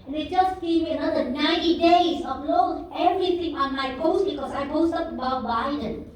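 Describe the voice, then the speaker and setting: A woman's voice: sits high, moderate pace. A woman from Vietnam with a Vietnamese accent, earnestly asking a question from the floor at a town hall meeting in somewhat broken English. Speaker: audience member asking a question. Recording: echoey room, far from the mic.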